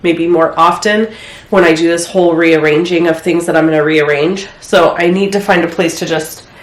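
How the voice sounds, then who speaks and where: A woman with a stuffy head cold chats casually while she clears out her home craft room. She rambles a bit and uses lots of filler words. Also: on the low side, fairly even intonation, moderate pace. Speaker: crafting vlogger. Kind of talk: casual chat while decluttering. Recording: echoey room, faint noise.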